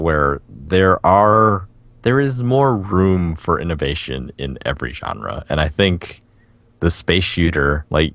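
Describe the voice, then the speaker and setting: A man's voice: sits low, fairly bright tone, no room sound. A man co-hosting a casual video game podcast, rambling along enthusiastically and often breaking into laughter as he talks. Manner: laughs often while talking. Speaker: podcast co-host.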